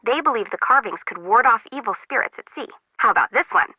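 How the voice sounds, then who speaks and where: A woman's voice: fairly high pitch, animated, fast, slightly rough, dry recording, dull audio. An actress playing a chatty, disorganized, slangy young female harbor worker in a video game, bubbly and wry. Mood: enthusiastic explaining.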